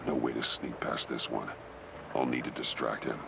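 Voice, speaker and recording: A man voicing a grim, brooding masked crime fighter in a video game, muttering tersely in a gravelly growl. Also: very deep voice, little pitch movement, a little slow, background noise, full character voice. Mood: focused, wary.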